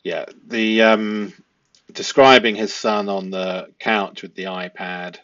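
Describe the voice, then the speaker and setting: A man giving analytical commentary as a host, reading from a transcript in halting, stop-start phrases and stressing key words. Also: on the low side, steady tone, fairly bright tone, no room sound. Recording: dull, muffled lo-fi audio.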